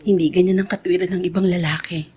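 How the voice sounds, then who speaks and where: An actress playing a grateful, loving wife in a Filipino radio drama, speaking tenderly and a little coyly. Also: female voice, on the low side, little pitch movement, fast, darkish tone, breathy edge. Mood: moved, grateful. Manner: tender, coy. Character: grateful, loving childless wife, married fifteen years.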